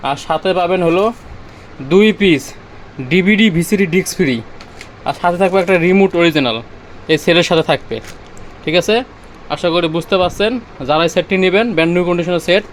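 A Bangladeshi man pitches goods in an unscripted, informal demo. His voice sits a little high and he talks very quickly, often repeating himself. Some urban background noise runs behind him. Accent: Bangladeshi Bengali. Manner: repetitive, pointing things out as he goes. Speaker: seller demonstrating used car audio-video equipment. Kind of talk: informal sales demo, off the cuff.

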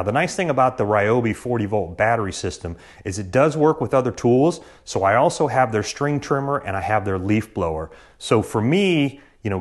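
A man in his thirties giving a practical, matter-of-fact tool review to the camera in his garage. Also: medium pitch. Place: garage, indoors.

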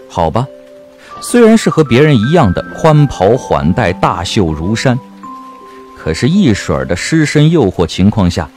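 A man's voice: fairly low pitch, a little mellow. A man narrating a comic period novel as an audiobook, with a wry, theatrical delivery. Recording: music bed under the voice.

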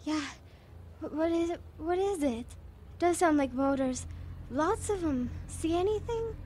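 A woman playing an excitable, cheeky wartime schoolboy in a radio drama. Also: extremely high pitch, unhurried, a little hiss or hum. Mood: groggy, wary.

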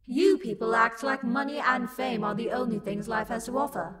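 A woman's voice: a little high, steady tone, normal speed, over loud music, lightly acted. A woman playing an angry, haughty, sassy luck demon in a roleplay audio drama, scornful, theatrical and exasperated.

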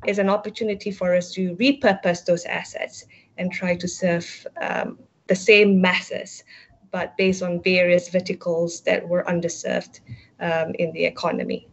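A woman's voice: lowish voice, little pitch movement, a little slow. A middle-aged woman explaining her work as a panelist on a virtual panel, speaking into an earphone headset over a video call. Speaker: panelist, company executive. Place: book-lined room indoors, over video call. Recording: dull, lo-fi video call audio.

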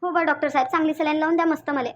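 A woman's voice: high-pitched, steady tone, very fast, lo-fi sound, a little hiss or hum, close to an everyday voice. A woman voicing a stubborn, complaining old village grandmother in a cartoon, with a rustic Varhadi Marathi accent and a repetitive, grumbling manner. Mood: complaining, pleading. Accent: Varhadi, Vidarbha Marathi. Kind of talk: cartoon dialogue.

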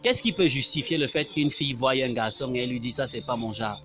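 A man in his thirties with a Cameroonian French accent, interviewing passers-by outdoors on a campus and pressing them with repeated questions. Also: mid-range voice. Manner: probing, repeats questions, quick to laugh. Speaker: street interviewer. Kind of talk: vox pop street interview. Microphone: handheld phone held out to guests. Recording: loud background music.

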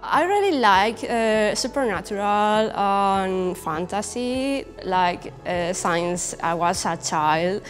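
A young woman with a Spanish accent talks slowly in a casual interview, often searching for her next word. Her pitch is average for a woman. The room leaves a long reverb on her voice, and music plays behind her. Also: lively intonation. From Spain. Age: young adult. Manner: halting, searching for words, giggly. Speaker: comic creator being interviewed. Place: indoor brick-walled studio set.

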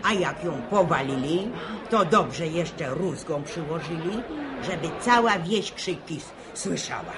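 Moralizing country woman, unhurried, spiteful, old radio drama with music behind. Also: deep voice, lively intonation. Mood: scandalized. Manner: rustic, scandalized, storytelling. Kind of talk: Polish radio serial acting.

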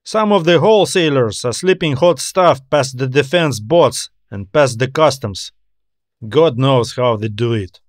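A man's voice: medium pitch, a little slow, dry recording, clean studio sound, natural voice. A man voicing a tired, anxious customs officer in an audio story, talking in a weary, griping way.

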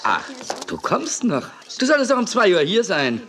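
A man's voice: high voice, wildly swooping pitch, normal speed, fairly bright tone, a little hiss or hum, heavily acted. A man playing a fussy, scatterbrained, overbearing TV director who stammers and repeats himself in a children's audio drama. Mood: flustered, dismissive.

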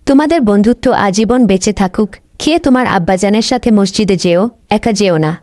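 A woman voicing a gentle, caring mother in a children's cartoon, affectionate and full of advice. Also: medium pitch, animated, fast, dry recording, clean studio sound, lightly acted. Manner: affectionate, advising.

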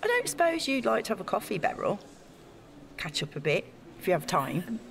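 A woman's voice: medium pitch, very animated, brisk, creaky, a bit bright. An actress playing an eager, self-effacing young woman in a naturalistic audio drama, talking in a chatty, gushing way. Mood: shy, hopeful.

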